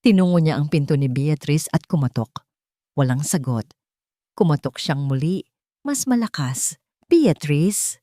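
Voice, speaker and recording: A woman's voice: deep voice, lively intonation, normal speed, pauses often, dark tone, no room sound, clean studio sound. A woman reading a scripted, dramatic love story as a narrator.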